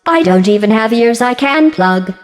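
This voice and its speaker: A woman voicing a passive-aggressive female artificial intelligence in a video game, deadpan and mock-sweet, with synthetic robotic processing. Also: fairly high pitch, moderate pace, long reverb tail, faint music behind. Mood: mock-sweet menace. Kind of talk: scripted video game dialogue.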